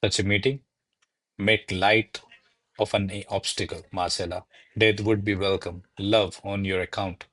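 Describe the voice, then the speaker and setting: Classical radio play: male actor as lovestruck servant-secretary, low register, declamatory, anxious soliloquist. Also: level tone, measured pace, fairly bright tone. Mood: yearning. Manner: theatrical, declamatory, musing in soliloquy. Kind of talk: verse stage comedy, recited as radio play.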